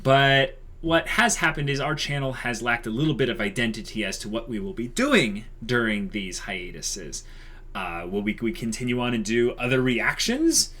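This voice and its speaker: A man's voice: mid-range voice, lively intonation, normal speed, fairly bright tone. A young man co-hosting a channel announcement, speaking casually and conversationally with plenty of likes and you-knows. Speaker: channel co-host.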